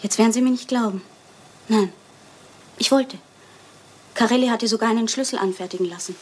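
An actress playing a proud, desperate young wife in a German radio crime drama, speaking in a grave, dramatic way. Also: female voice, on the high side, a little fast, darkish tone, slightly rough. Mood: confessing.

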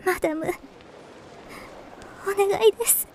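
A woman's voice: extremely high pitch, slow pace, full character voice. An actress playing a poor, timid young girl in a radio drama, pleading in a hesitant, meek, polite manner. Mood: timid, disbelieving. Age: young adult.

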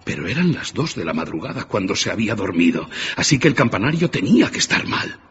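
A man's voice: on the low side, very animated, fast. A man narrating a scripted dramatic story as the narrator of a radio drama. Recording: muffled, loud background ambience and noise.

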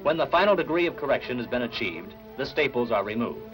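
A man reading scripted 1960s newsreel narration in a measured, formal announcer style. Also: medium pitch, lively intonation, rough recording. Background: background music.

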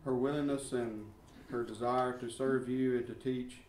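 A man introducing a guest teacher and praying, halting with fillers. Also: mid-range voice, steady tone, darkish tone, far from the mic. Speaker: church class leader. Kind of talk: introduction and prayer. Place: church classroom. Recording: roomy echo, from off mic, faint room noise.

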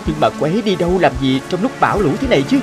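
A man's voice: on the high side, very animated, very fast. An actor playing an earnest, anxious young fisherman in a fairy-tale cartoon, speaking with dramatic emotion. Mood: puzzled. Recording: music and rain behind the voice.

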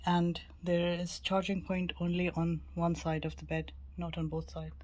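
Low, flat-toned woman giving a casual tour. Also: normal speed. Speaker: travel vlogger. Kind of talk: casual cabin tour narration. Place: mostly a small cruise ship cabin. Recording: clear background ambient noise.

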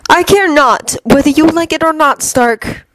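A woman's voice: high-pitched, unhurried, a bit bright, no echo, pitched above the performer's own voice, poor audio. A woman reading a fan fiction audiobook voices a cold, scornful male schemer who talks in a menacing, persuasive way. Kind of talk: audiobook character voice.

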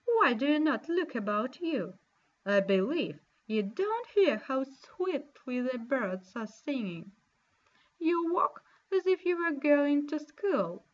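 A cunning male wolf from a storybook, as a woman reader puts him on, coaxing and scheming in a voice dropped below her own. He talks slowly and sweetly, and the recording sounds a little dull and lo-fi. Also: sits high, exaggerated voice.

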